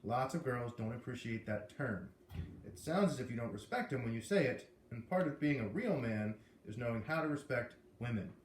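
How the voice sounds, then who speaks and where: A man voicing a calm, kindly, gently firm schoolteacher with a measured, reassuring manner in a storybook. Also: mid-range voice, a little hiss or hum, close to an everyday voice. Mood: gently correcting. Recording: echoey room.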